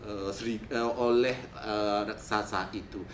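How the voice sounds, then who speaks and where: Eldest goat for a children's tale, played by a man: unhurried, cautionary big brother, recorded in an echoey room. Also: fairly even intonation, lightly acted. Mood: cautious, warning. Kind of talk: children's storytelling. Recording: roomy echo, faint rumbling noise.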